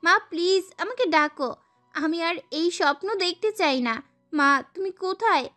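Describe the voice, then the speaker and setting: A woman's voice: very high-pitched, unhurried, sharp tone, soft background music, heavily acted. A woman voicing a frightened schoolgirl in an audio story, pleading in distress. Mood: desperate.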